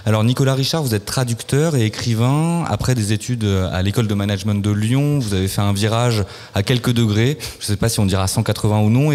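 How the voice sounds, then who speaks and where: A low-voiced man hosting a live radio interview, formal and measured, pausing on the odd filler. His voice rings out with a long hall reverb. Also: darkish tone. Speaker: radio host. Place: festival venue, live broadcast.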